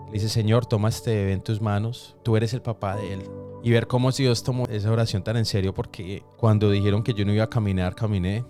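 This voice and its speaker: A man giving a personal testimony in conversation, speaking reflectively with halting pauses. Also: fairly low pitch, fairly even intonation. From Colombia, raised in New York from age four. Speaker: podcast guest. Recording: roomy echo, faint music behind.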